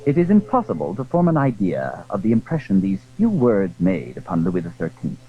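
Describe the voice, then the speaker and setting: A man's voice: on the low side, wildly swooping pitch, fairly quick, breathy edge. A man narrating a literary drama in a formal, scripted storytelling style. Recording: very muffled, lo-fi old recording.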